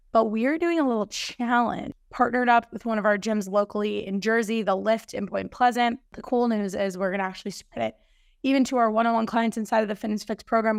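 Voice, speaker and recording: A woman hosting a fitness podcast, slightly hoarse, chatting upbeat about coming news. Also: fairly high pitch, dry recording, clean studio sound. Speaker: podcast host and fitness coach. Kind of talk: casual solo podcast intro.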